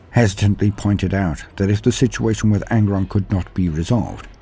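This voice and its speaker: A man narrates lore from a script in a low, mellow voice with a husky edge. His delivery is grave and dramatic, like a storyteller's, with faint music underneath. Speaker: host narrator of a lore channel.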